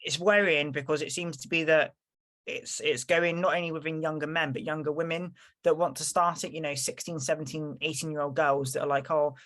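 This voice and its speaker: A woman's voice: low voice, fairly even intonation, fairly quick, a bit bright. A woman co-hosting a podcast in casual chat, rambling along and acting out what people said to her. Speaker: podcast co-host.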